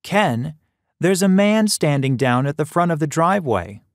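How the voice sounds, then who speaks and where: Casting: man as forgetful elderly mom, raised pitch, fretful and insistent, audiobook dialogue, dry studio. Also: animated, normal speed, dry recording. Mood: nervous, insistent. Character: anxious, insistent eighty-five-year-old mother, forgetful and fretful.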